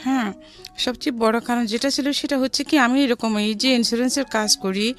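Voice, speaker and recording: A woman's voice: on the high side, steady tone, brisk. A middle-aged woman with a Bangladeshi accent telling her life story in a conversational way, mixing in some English words. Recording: music behind the voice.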